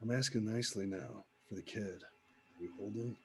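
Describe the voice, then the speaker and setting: A man's voice: lowish voice, moderate pace, close to an everyday voice. An actor playing a wary, accusing man at a script table read, understated and pointed, simmering underneath. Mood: restrained anger. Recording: background room noise.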